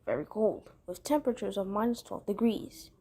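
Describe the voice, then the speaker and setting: A woman's voice: medium pitch, lively intonation, normal speed, warm tone, husky. A woman playing a weather forecaster, reading a matter-of-fact scripted bulletin.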